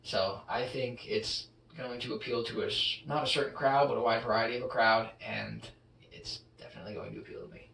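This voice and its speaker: Bright-voiced young man chatting in a roomy space. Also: mid-range voice, brisk, creaky. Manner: rambling, filler-heavy, trailing off quietly. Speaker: podcast co-host. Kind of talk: casual chat. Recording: roomy echo, distant mic, faint hiss.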